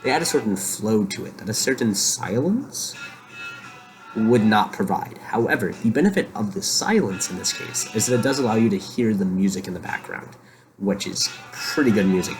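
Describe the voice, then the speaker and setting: A young man hosting a rambling solo monologue from a room in a shared house, talking quietly and musing aloud with lots of fillers. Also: low voice, rough recording. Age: young adult. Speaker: podcast host. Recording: quiet home recording with music behind. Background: faint music playing.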